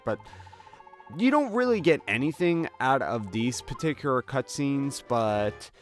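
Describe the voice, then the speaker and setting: A man talks through a video game in a casual, jokey way. His mid-pitched voice moves up and down in a lively manner, like someone chatting to viewers, with music playing behind him. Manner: jokey, conversational, slips into mock dialogue. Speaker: gaming video host. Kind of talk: casual game walkthrough commentary.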